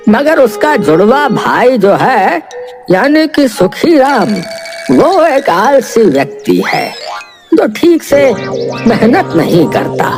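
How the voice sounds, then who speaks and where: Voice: medium pitch, very animated, slow, darkish tone, faint music behind. An actor voicing an earnest male messenger figure in a cartoon moral story, speaking in a formal, declarative way. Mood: earnest explaining. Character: grave village elder and brisk royal soldier, male.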